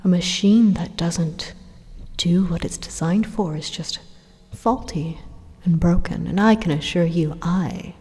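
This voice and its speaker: A woman acts out a rogue supercomputer for an ASMR roleplay, coolly menacing and persuasive. The voice is dark, soft and somewhat low, with a breathy whisper to it. It unfolds its monologue slowly and deliberately, pausing for drama. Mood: calm, insistent. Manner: slow, deliberate, hushed monologue with dramatic pauses. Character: evil supercomputer machine, calmly menacing, persuasive would-be savior. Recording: long hollow reverb on the voice.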